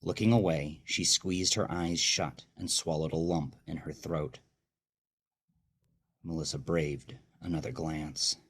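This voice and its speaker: A man narrating dark fiction as a horror story host, with slow, brooding, deliberate dramatic delivery and a very deep, husky voice. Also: fairly even intonation.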